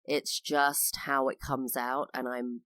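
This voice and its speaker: A woman's voice: very deep voice, level tone, takes it slowly, fairly bright tone, dry recording. A woman in her thirties with an English accent touched by American, hosting a personal podcast monologue with careful enunciation and a sing-song lilt. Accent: English with a slight American tinge. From United Kingdom. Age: 30s. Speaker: podcast host.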